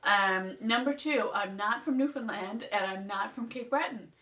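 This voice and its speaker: A woman's voice: mid-range voice, moderate pace, fairly bright tone. Chatty Nova Scotian woman, roomy sound. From Nova Scotia, Canada. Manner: rambling, chatty, reading off a list. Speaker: beauty vlogger. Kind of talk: casual chatty vlog. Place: indoors at home, seated. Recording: roomy sound from a distant mic.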